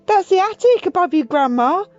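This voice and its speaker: An anxious young boy acted by a woman reader protests and pleads in a high, lively voice. He sounds earnest and exasperated, a storybook child, with music behind and slightly dull sound. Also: normal speed, no room sound. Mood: exasperated, cautioning.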